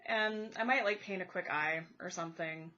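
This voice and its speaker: A woman who is congested and a little raspy, chatting casually about art supplies while she draws at home. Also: fairly low pitch, moderate pace, sharp tone, lo-fi sound. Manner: chatty, thinking aloud while drawing. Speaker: art vlogger. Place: home, indoors at a table. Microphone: camera overhead pointed at the paper. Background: furnace and cat noises.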